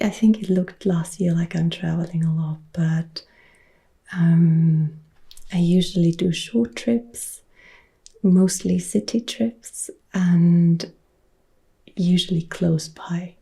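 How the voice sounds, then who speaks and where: A woman in her thirties from Upper Austria with an Austrian German accent, softly whispering and quietly answering viewer questions as an ASMR host. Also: on the low side, creaky, slightly rough. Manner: soft whispering, mixed with quiet speech. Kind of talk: ASMR Q&A chat. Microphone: close-up sensitive ASMR mic.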